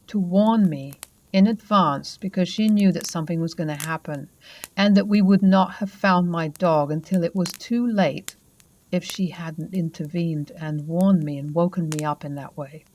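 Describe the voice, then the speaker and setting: A woman host reading a first-person paranormal story aloud and then chatting about it in a calm, measured way. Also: lowish voice, poor audio. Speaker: host and narrator.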